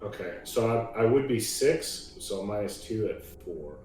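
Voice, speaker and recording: Lower-pitched man in an echoey room. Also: lively intonation, measured pace, darkish tone, faint music behind. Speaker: tabletop game player. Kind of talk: casual chat during a tabletop game.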